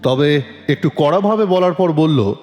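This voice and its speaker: A man's voice: on the high side, unhurried, a little mellow, highs cut off, close to an everyday voice. A man playing a polite, probing police detective who narrates his case in a dramatic audio story, with questioning delivery. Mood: suspenseful. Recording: long reverb, music bed.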